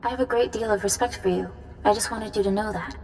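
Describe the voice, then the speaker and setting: An actress playing a deferential, clever young cult follower in a video game, speaking respectfully and earnestly. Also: female voice, mid-range voice, fairly even intonation, fast talker, gravelly, darkish tone, a little hiss or hum, close to an everyday voice.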